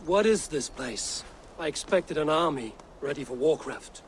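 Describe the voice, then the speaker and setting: A man playing a stern, forthright Viking warrior in a dramatic video game, speaking in a measured, blunt way. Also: on the high side, lively intonation, a little hiss or hum.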